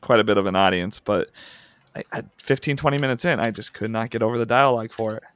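A man's voice: on the low side, quick pace, a bit bright, no room sound. A man co-hosting a podcast, giving his opinions on movies in casual, wry chat. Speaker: podcast co-host.

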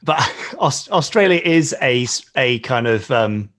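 A man's voice: medium pitch, expressive, unhurried, a bit bright. A man hosting a podcast interview, playful and joking at his own expense. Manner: playful, jokey, self-deprecating. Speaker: podcast host. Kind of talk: casual interview.

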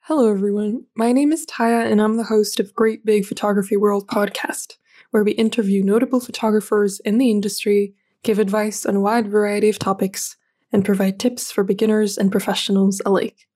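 A woman hosts a podcast, speaking in a mellow, soft-toned voice at a middle pitch. She reads her introduction in an even, measured way, then interviews with short encouraging replies. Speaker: podcast host.

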